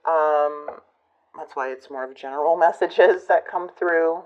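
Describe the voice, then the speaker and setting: A woman giving a casual tarot reading to her viewers, musing and hesitant, her voice a bit hoarse from a recent illness. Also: low-pitched, a little slow, dull audio. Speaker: tarot reader.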